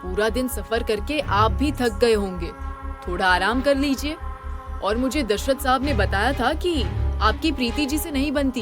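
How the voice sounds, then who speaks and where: A woman plays a village headman in a horror audio story, speaking in a high voice over music. He is courteous and brisk, smoothly steering away from questions. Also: natural voice. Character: evasive male village headman, smoothly polite.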